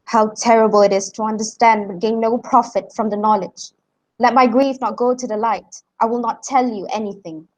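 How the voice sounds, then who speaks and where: A woman's voice: on the high side, fairly even intonation, normal speed, darkish tone, lightly acted, rough recording. A young woman playing a stern, defiant, grieving seer of ancient Greece in an amateur radio drama. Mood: grave, reluctant. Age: young adult. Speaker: student actor.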